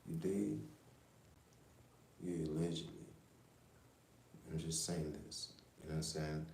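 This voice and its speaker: A man from Jamaica with a very deep, dark, flat voice rambles through a profane livestream monologue. He leans on slang and keeps checking in with his listeners. The sound is roomy and echoey, with a faint hiss underneath. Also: unhurried, gravelly. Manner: rambling, profane, streetwise, repeats "you know what I'm saying". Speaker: livestream host.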